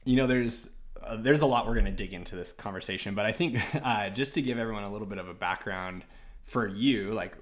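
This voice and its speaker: A man's voice: fairly low pitch, a little fast. An American man hosting a podcast in a casual, conversational way. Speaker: podcast host.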